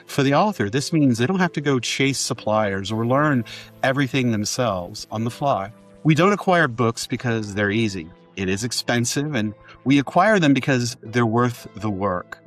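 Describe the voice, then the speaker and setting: A man's voice: mid-range voice, lively intonation, normal speed. Man hosting a podcast, music behind. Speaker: podcast host and book publisher. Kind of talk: scripted podcast introduction, then casual interview.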